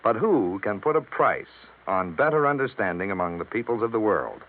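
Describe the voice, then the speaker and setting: A man reading a scripted mid-century radio public service message with earnest, measured oratory. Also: fairly low pitch, lively intonation. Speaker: radio announcer. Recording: old radio, narrow tinny band.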